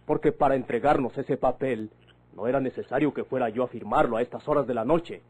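A man's voice: mid-range voice, fairly quick, darkish tone, husky, natural voice. An actor playing an earnest, suspicious young man with a Mexican accent in a vintage radio drama, speaking politely and formally as he questions. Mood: reasoning.

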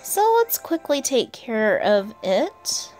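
A woman's voice: a little high, big pitch swings, takes it slowly, darkish tone, soft background music. A woman giving casual, chatty gameplay commentary as a player and channel host, often talking to herself and to the game's characters. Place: indoors, voice-over on gameplay with game music behind.

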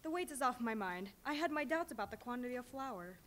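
A fussing, sharp-tongued mother, played by a woman on a live stage. She talks fast and high, brisk with worry and quick to bristle. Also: expressive, a little hiss or hum. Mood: bustling. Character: bustling, sharp-tongued mother of a poor family.

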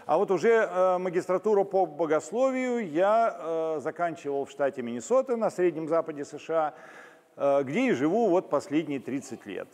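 A man's voice: a little high, lively intonation, normal speed, darkish tone. An older man from Moscow giving a friendly, joking talk to a church audience, with frequent hesitation sounds. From Moscow, Russia. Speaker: guest lecturer. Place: large church hall. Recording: long hall reverb.

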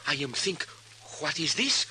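Bright-voiced Mexican townsman, old radio western. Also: a little high, very animated, exaggerated voice. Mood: eager, confiding. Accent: Mexican Spanish. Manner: halting broken English peppered with Spanish. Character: excitable Mexican townsman, a roguish witness in broken English. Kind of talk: 1950s radio western acting. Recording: noisy humming 1950s radio recording.

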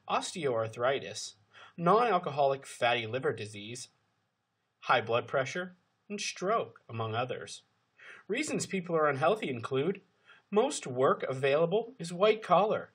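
A man reading scripted instructional narration as a narrator, with emphatic, deliberate phrasing. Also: medium pitch, breathy edge.

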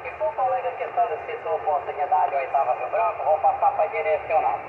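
A Brazilian man chats casually as a radio operator, his voice heavily gravelly. He repeats himself and checks in with short tags. The sound is echoey, with loud noise over it. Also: fairly high pitch, lively intonation, fairly quick. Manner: repeats himself, frequent tag questions. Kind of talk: casual two-way radio chat. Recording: muffled, noisy radio setup, room echo.

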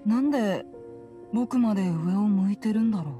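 A woman's voice: mid-range voice, brisk, lightly acted. A woman voicing a timid, polite young boy in a Japanese video game, hesitant, apologetic and eager to help. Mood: flustered, polite. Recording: music playing behind the voice.

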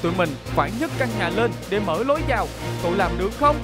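A man's voice: sits high, animated, fast. A man voicing a young, confident police car robot who leads a rescue team in a dubbed children's cartoon, decisive and encouraging. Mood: urgent, decisive. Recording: loud music under the voice.

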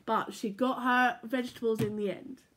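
A young woman reading picture books aloud to children from her living room, playful and expressive. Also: on the high side, moderate pace, a little hiss or hum. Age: young adult. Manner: animated, playful read-aloud with sound effects. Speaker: church children's storyteller. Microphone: laptop or phone camera at arm's length.

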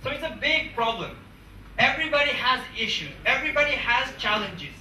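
A man's voice: extremely high pitch, expressive, a little fast, bright, forceful tone, recorded from a distance, a little hiss or hum. A young man in his twenties giving an emphatic, repetitive motivational speech to students through a handheld mic in a large conference room. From England. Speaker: motivational speaker. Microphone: handheld mic, far camera. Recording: echoey room, recorded from afar.

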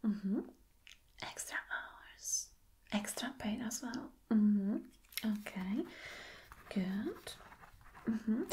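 A woman playing a flirty, caring boss in a soft, breathy, whispered ASMR roleplay, gentle and coaxing. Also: mid-range voice, natural voice. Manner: slow, gentle, coaxing, with hums of agreement. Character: flirty, caring female boss courting an employee. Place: small dim indoor room.

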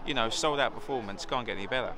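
A man's voice: mid-range voice, racing, a loud crowd. A man, an audience member, chatting animatedly outdoors after a show. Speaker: theatregoer.

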